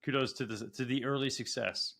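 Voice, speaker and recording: A bright-voiced man hosts a podcast, firing off questions at a fast clip. His manner is loose and joking. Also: medium pitch, steady tone, vocal fry. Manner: quick, joking interviewer. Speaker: podcast host. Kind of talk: casual interview questions.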